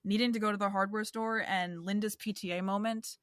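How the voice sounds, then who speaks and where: Woman podcast co-host, mid-pitched and dry, loose rambling talk. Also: fairly even intonation, fairly quick, fairly bright tone, clear, resonant tone, dry recording. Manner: rambling, hedging with frequent "like". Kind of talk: casual chat.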